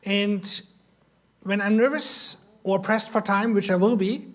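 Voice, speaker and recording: High-voiced German-accented man teaching. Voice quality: high-pitched. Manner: talks quickly, self-deprecating humor. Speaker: missionary teaching Sunday school. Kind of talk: informal Bible class talk. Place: church classroom.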